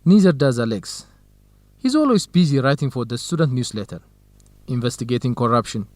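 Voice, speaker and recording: Young Ethiopian male researcher, played by an actor, medium pitch, very expressive, earnest, modest, radio drama, dry recording. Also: brisk, no echo, natural voice. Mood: friendly, earnest. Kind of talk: educational radio drama acting.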